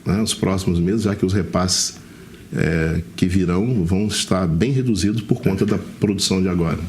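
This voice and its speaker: A man's voice: sits low, normal speed, darkish tone, a little hiss or hum. A Brazilian man hosting a television interview segment, addressing the viewers and his guest. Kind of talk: broadcast interview.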